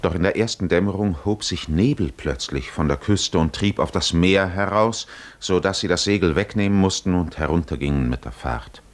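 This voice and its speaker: A man as the solemn, poetic narrator of a 1950s German radio drama, measured and dramatic. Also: deep voice, natural voice. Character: solemn poetic storyteller of a sea voyage.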